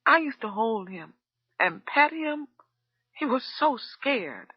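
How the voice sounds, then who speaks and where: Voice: sing-song, normal speed, breathy edge, dry recording, highs cut off. A reader voicing a furious middle-aged woman cook who screams accusations and then breaks down crying, in an audiobook. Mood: sobbing. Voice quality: shrill, screaming. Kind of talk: audiobook character voice.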